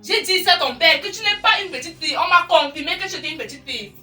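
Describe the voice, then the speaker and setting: A scornful, scolding wife. Her voice is high and very bright, dismissive and bossy, caught at a distance in an echoey room. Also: lively intonation, fast, exaggerated voice. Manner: sharp, repetitive, dismissive. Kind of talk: screen drama acting in French. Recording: echoey room, distant mic, faint music.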